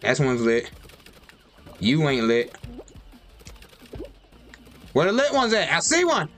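Young male streamer, casual and excitable, wildly varied pitch, desk mic. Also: slow pace, faint music behind. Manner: excitable, yelps high and loud at the game; slangy, talks to himself. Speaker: gaming streamer. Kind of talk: casual gameplay commentary. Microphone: desk mic with webcam.

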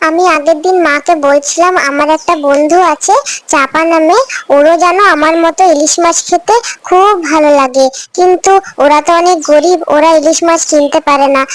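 A woman's voice: very high-pitched, no echo. A woman voicing a sweet, kind-hearted little village girl in a children's cartoon, childlike and earnest. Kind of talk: Bengali children's cartoon story dialogue.

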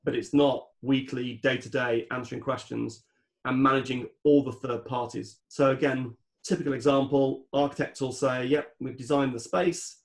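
A man's voice: mid-range voice, steady tone, moderate pace, vocal fry. A man speaking as a guest expert on a podcast, explaining things in a methodical, instructive way. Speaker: guest expert on home renovation project management.

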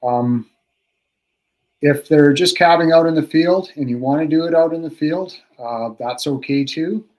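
A man's voice: a little high, unhurried, poor audio. Middle-aged male guest expert, casual how-to talk, filler-heavy, webcam sound from a home room. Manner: relaxed, practical, many fillers and restarts, chuckles often. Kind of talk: casual instructional talk on a video call. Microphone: video call webcam.

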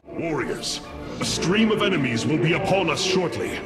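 An actor playing a noble young alien leader in a video game, speaking in solemn, formal, heroic oratory. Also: male voice, on the high side, sing-song, normal speed. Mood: commanding. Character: noble young male alien leader rallying his warriors, resolute. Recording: voice under very loud game music.

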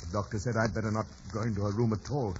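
An actor playing an anxious gentleman husband in a radio drama. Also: on the low side, fairly even intonation, fast, slight background noise, close to an everyday voice. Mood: worried. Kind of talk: 1950s radio drama acting. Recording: dull old broadcast, loud music behind.

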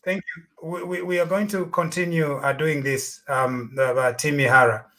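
A man's voice: a little high, steady tone, normal speed, bright, forceful tone. Man, middle-aged, call-in host, mixes English and local language, desk mic, home study. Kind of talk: late-night live call-in chat. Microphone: desk microphone in front.

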